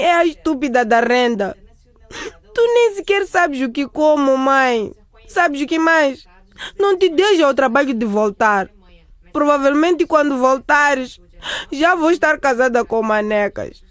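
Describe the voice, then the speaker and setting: An actress in a radio drama playing a sulky, resentful, confrontational teenage daughter. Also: female voice, high-pitched, lively intonation, a little slow, no echo. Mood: bitter.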